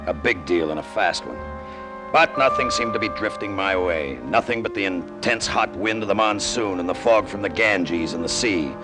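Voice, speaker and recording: A man's voice: deep voice, lively intonation, moderate pace. An actor playing a cynical, down-on-his-luck American pilot in 1950s radio drama, with sardonic, slangy delivery. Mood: world-weary. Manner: sardonic, slangy, world-weary. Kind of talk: 1950s radio drama acting, first-person narration. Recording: vintage radio drama with music behind.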